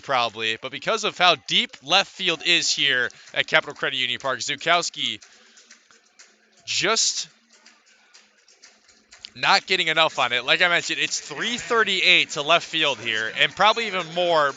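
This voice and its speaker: A man doing live radio baseball commentary in a very bright, forceful voice, pitched slightly high. He delivers stats in short clipped phrases and fills out the action in descriptive sentences. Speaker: baseball play-by-play announcer.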